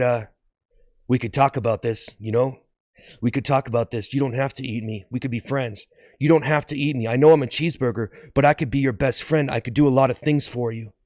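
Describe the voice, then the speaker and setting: A man's voice: medium pitch, a little mellow, clean studio sound, natural voice. Guy doing a desperate talking burger, bargaining, pleading over and over, comedy skit, no room echo. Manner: pleading, wheedling, repetitive. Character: desperate talking cheeseburger bargaining not to be eaten.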